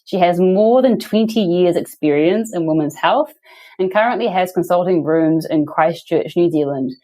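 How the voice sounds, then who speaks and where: Woman podcast host, very low pitch for a woman, gushing and upbeat. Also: moderate pace, mellow. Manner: enthusiastic, effusive. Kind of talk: podcast interview hosting.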